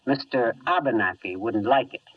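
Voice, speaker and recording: An actor playing a fussy, courteous, coolly menacing crook boss in a precise 1950s radio drama style. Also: male voice, mid-range voice, animated, moderate pace, husky, no echo. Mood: politely menacing. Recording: muffled, distant 1950s radio recording.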